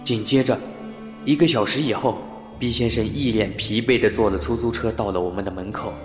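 A man's voice: fairly low pitch, fairly quick, close to an everyday voice. A man playing a courteous, hesitant and easily puzzled building manager who also narrates a sci-fi radio drama. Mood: bewildered narration. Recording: roomy sound with music underneath.

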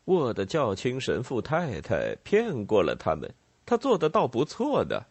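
A man's voice: medium pitch, huge pitch swings, unhurried, a little mellow, breathy edge, no echo, heavily acted. A man voicing a bluff, commanding rebel leader who poses as emperor in a story, with a swaggering, emphatic delivery. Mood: bluff, relenting.

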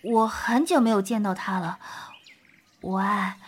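A woman's voice: medium pitch, measured pace, slight background noise, natural voice. A woman playing a jealous, possessive schoolgirl in an audio drama, with an emotional, dramatic delivery. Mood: hesitant, wistful. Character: jealous, possessive schoolgirl hurt by her closest friend. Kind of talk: Chinese audio drama acting.

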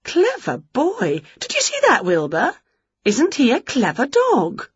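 A woman voicing an excitable, dramatic witch who is easily put out, in a children's storybook. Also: high voice, slow pace, husky, no echo, dull audio, pitched above the performer's own voice, heavily acted. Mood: delighted. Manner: exclamatory, dramatic.

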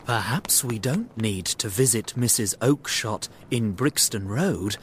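A man voicing a confident, shrewd, courteous detective in an acted storybook, speaking in an assured and deliberate way. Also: lowish voice, animated, a little hiss or hum. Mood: quiet, thoughtful.

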